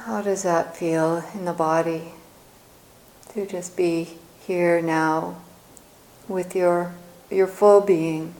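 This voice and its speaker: A woman leading a guided meditation as a teacher, speaking gently and soothingly in short phrases with long pauses. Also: fairly low pitch, fairly even intonation, poor audio.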